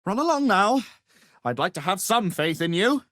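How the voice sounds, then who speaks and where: An actor playing an arrogant, power-hungry wizard in a video game, speaking in a pompous, theatrical and condescending manner. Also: male voice, high voice, big pitch swings, moderate pace, fairly bright tone, no echo, clean studio sound.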